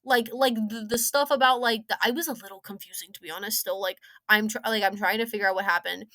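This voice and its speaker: A woman's voice: medium pitch, fairly even intonation, fast, bright, forceful tone, no room sound. A young woman giving casual, rambling review commentary in a giggly, playful, slangy way. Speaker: video game story reviewer.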